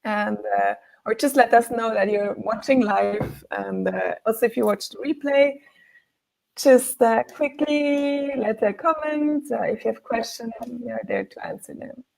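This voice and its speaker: A woman's voice: on the high side, unhurried, vocal fry, a little mellow, low-quality recording. A woman hosting a live online interview, hesitant with many fillers, German as her first language. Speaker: interviewer, live show host.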